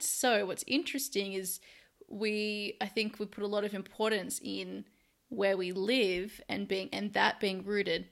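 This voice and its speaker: An Australian woman hosting an interview, enthusiastic and effusive. Her voice is bright and sharp, with a gravelly fry running through it. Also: mid-range voice. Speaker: podcast host. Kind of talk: casual interview chat.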